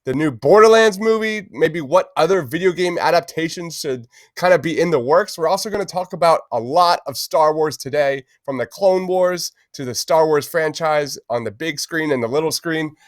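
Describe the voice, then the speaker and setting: Guy hosting a geeky podcast, kinda high voice, hyped and slangy, dry sound. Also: moderate pace, few pauses, dry recording. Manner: enthusiastic, informal, slangy, peppers talk with "man" and "dude". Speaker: podcast host. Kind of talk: casual pop-culture podcast chat.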